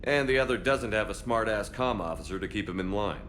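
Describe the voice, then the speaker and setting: A man's voice: lowish voice, animated, fairly quick, a bit bright, slight background noise. A man playing a steadfast space military commander in a video game, speaking in a firm, direct, resolute way. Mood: dry, easygoing. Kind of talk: video game dialogue acting.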